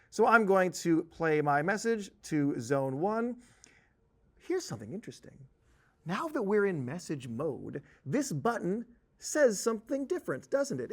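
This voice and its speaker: A man giving an informal software tutorial in a conversational, emphatic way with some joking. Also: on the high side. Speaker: software trainer.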